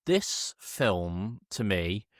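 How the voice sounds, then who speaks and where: A man's voice: fairly low pitch, big pitch swings, extremely slow, dry recording, studio quality. A man co-hosting a casual film review chat, sardonic, exasperated and sweary. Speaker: podcast co-host.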